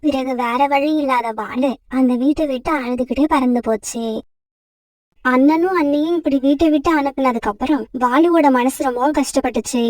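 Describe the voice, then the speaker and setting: A woman narrating a children's cartoon story in a plain, even storytelling manner. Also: high voice, normal speed, no echo, far from the mic.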